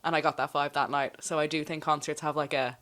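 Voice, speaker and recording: A woman's voice: low-pitched, steady tone, quick pace, a bit bright. A woman talking as a podcast co-host in a casual, chatty, informal conversational style. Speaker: podcast co-host and ASMR channel presenter.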